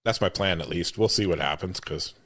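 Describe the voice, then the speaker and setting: A man's voice: low-pitched, brisk, a bit bright, no room sound. A man from Oregon hosting a casual interview in an easygoing way. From United States, Oregon. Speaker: podcast host.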